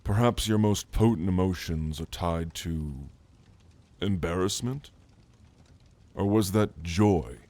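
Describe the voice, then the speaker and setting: A man playing a gentle, scholarly orc mage in a soft, earnest, halting ASMR roleplay, speaking intimately to the listener. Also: low voice. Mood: gentle, coaxing.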